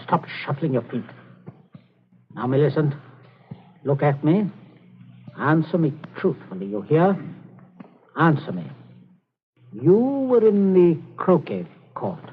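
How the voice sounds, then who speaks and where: Stern, impatient middle-aged father, deliberate and higher-voiced, 1940s radio drama, very tinny broadcast sound. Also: sing-song, slightly rough, heavily acted. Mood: stern, disgusted. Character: stern, domineering father coldly interrogating his daughter.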